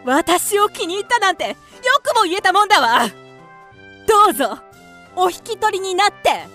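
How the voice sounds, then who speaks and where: A woman playing a proud, fiery and fickle widow in a theatrical radio drama, sharp-tongued yet formal. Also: very high voice, big pitch swings, moderate pace, faint music behind, full character voice. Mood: mocking. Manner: haughty, sharp-tongued, formal feminine speech.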